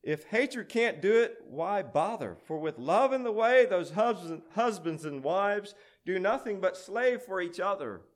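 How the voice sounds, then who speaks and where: Man doing a lazy old demon, pitched high. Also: animated, measured pace, few pauses. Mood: shrugging, lazy. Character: old lazy demon, lolling, slack and shrugging. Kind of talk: dramatic poem recitation.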